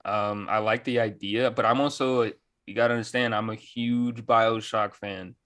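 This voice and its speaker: A man's voice: on the low side, fairly even intonation, moderate pace, fairly bright tone. A man chatting casually as a gaming podcast co-host, enthusiastic, with plenty of slang and swearing.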